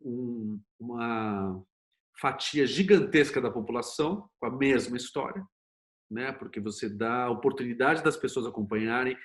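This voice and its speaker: A Brazilian man with a medium-pitched voice speaks as a guest in an interview. He explains conversationally, telling things like little stories. He often closes a thought with a short tag question. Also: moderate pace. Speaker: interview guest, series producer and screenwriter.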